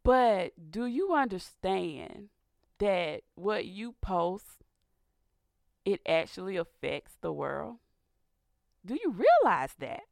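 A woman hosting a faith-based radio talk show, raspy and a little hoarse from sinus trouble, speaking earnestly and building to a preacher's fervor. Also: mid-range voice, big pitch swings, slow, pauses often, darkish tone, no room sound, studio quality. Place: radio studio.

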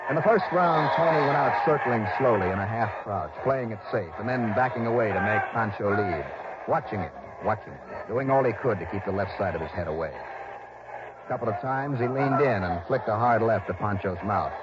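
A man's voice: on the low side, expressive, a little fast, lightly acted. An actor playing a tough, earnest investigator with an American accent, narrating his case in clipped, intense 1960s radio style. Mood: tense, building. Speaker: star and narrator. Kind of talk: dramatic first-person 1960s radio narration. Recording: noisy, muffled old radio recording.

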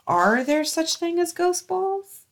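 A woman's voice: very high-pitched, very animated, slow pace. A woman hosting a show, reading listener-submitted stories aloud in a casual, chatty way.

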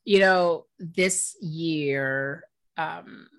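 A woman's voice: low-pitched, extremely slow. A woman hosting a casual interview, friendly and affirming, with slangy asides and plenty of "yeah"s. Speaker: interview host.

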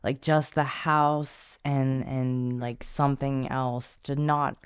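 A co-host with a smooth, resonant voice at middle pitch, picking words carefully in a relaxed movie chat. The recording is dry and slightly muffled. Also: slow, no echo. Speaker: podcast co-host. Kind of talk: casual film discussion.

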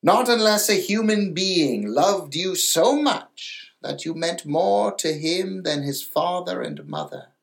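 Storybook grandmother mermaid, elderly and proud played by a man: raised pitch, stern, drawn-out, lecturing delivery. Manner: slow, emphatic, lecturing.

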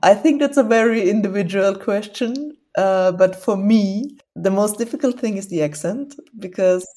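A woman's voice: medium pitch, normal speed, dark tone. A woman from southern Burgenland, interviewed, explaining dialect grammar with examples and laughing often. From southern Burgenland, Austria. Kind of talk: casual interview.

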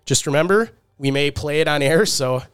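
A man's voice: a little high, moderate pace, fairly bright tone, clean tone, no room sound, studio quality. A man co-hosting a podcast in casual chat, a little congested from a cold, talking loosely with many fillers. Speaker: podcast co-host.

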